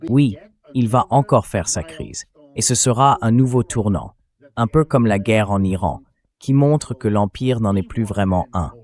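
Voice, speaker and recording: A man's voice: fairly low pitch, lively intonation, unhurried, darkish tone. A man's synthetic AI voice-over delivering conversational, explanatory political commentary as a dubbed interview.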